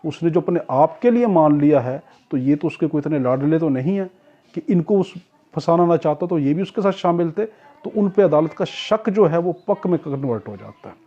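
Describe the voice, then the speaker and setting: Pakistani guy teaching a class, slightly high mellow voice, asks stuff then answers it, kinda muffled audio. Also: animated, moderate pace. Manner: didactic, asks questions and answers them himself. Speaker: law teacher, lecturer. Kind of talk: explanatory lecture with acted-out examples. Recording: dull, muffled lo-fi lecture recording.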